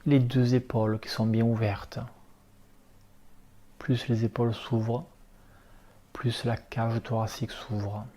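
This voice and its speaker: A man leading a guided relaxation meditation as an instructor, speaking calmly in short, unhurried instructions. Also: medium pitch. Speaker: yoga nidra relaxation instructor.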